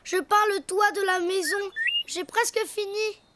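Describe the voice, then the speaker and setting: A little boy donkey, very high. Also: fairly even intonation, a little slow, heavy background noise. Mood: eager. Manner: chirpy, childlike, sing-song play talk. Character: playful little boy donkey, noisy and imaginative. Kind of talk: cartoon voice acting. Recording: music bed under dialogue.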